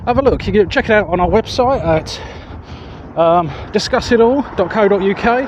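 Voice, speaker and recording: Male walking vlogger, southeast English, high-pitched, rambling and hesitant, handheld mic beside noisy roads. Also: expressive, moderate pace. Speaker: vlog host. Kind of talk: casual chat to camera while walking. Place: outdoors walking along roads. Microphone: handheld external microphone. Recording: muffled outdoor street audio, loud traffic noise.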